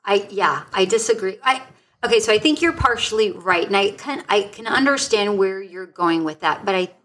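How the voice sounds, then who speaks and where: A woman's voice: medium pitch, fairly bright tone. A woman co-hosting a casual podcast, chatty and laughing often, restarting her sentences as she thinks aloud. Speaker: podcast co-host.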